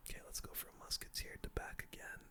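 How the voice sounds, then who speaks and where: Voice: fast, bright, forceful tone. A young adult whispers casual, slangy commentary over their own gameplay in an ASMR style. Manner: casual slangy gamer talk, full whisper.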